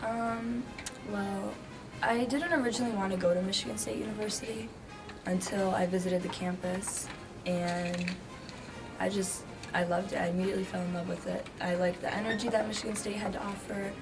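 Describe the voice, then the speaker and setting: A young woman whose first language is Greek, a graduating student answering interview questions hesitantly with many pauses. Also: mid-range voice, steady tone, over music. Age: young adult. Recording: noisy background.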